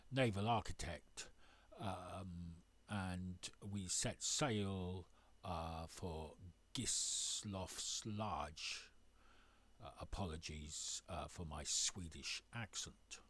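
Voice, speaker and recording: A man talks through his sailing trip at a very slow, unhurried pace. His pitch sits a little low, and he stops often with uhs and ums between short phrases. It sounds like a recorded voice-over for a travel vlog. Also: a bit bright. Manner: hesitant, full of uhs and ums. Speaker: sailing vlogger.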